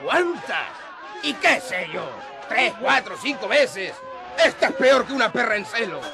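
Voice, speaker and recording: An actor playing an enraged, vindictive betrayed husband in a radio drama, indignant and abusive. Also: male voice, very high voice, big pitch swings, unhurried, creaky, over music, exaggerated voice. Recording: crowd clamor behind.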